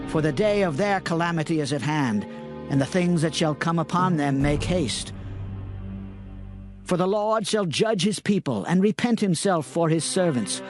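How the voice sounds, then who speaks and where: A man's voice: a little high, lightly acted. A man voicing a prophet delivering a fervent poetic song in a dramatized scripture recording. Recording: music clearly underneath.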